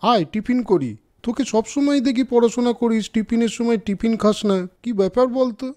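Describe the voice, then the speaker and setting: A man voicing a kind, earnest schoolboy with expressive delivery in a children's moral cartoon. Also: very high voice, moderate pace. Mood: friendly coaxing. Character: earnest, kind schoolboy, caring toward classmates.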